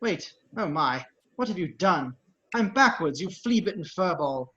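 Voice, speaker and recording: A man's voice: high-pitched, animated, measured pace, sharp tone, exaggerated voice. A man playing a fussy, panicky protocol droid in an amateur script read, fretful and prissy. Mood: indignant. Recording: dull lo-fi microphone.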